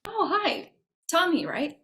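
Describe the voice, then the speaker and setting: A woman's voice: extremely high pitch, huge pitch swings, takes it slowly, pushed and bright, full character voice. An actress at a table read playing a perky young female coffee shop cashier, breezy and slangy. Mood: friendly, unsure.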